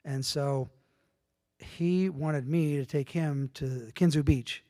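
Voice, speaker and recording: A man's voice: a little high, takes it slowly. A middle-aged man telling stories as an interview guest in a casual way, acting out bits of dialogue as he goes. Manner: lively storyteller who acts out dialogue. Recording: long room reverb.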